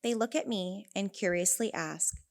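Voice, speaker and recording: A woman's voice: medium pitch, normal speed. A young woman with a California accent giving a prepared conference talk, earnest and storytelling, with wry asides. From Northern California. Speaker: conference speaker, elementary teacher. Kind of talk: prepared speech.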